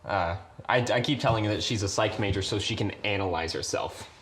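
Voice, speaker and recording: A man's voice: on the low side, brisk, a bit bright, close to an everyday voice. A young man playing an awkward, bookish aspiring writer, stammering nervously and eagerly in a web series. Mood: sheepish. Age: young adult. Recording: echoey room with noisy ambience.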